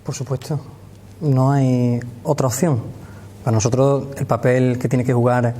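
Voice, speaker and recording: Andalusian guy making his case calmly in a tv interview, mid voice. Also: medium pitch, measured pace, a little hiss or hum. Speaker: party communications officer, guest. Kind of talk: TV studio interview.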